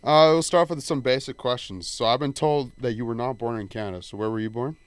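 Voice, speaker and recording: A man's voice: mid-range voice, fast talker, clear, resonant tone. A young man co-hosting a podcast, asking interview questions and giving brief, agreeable replies.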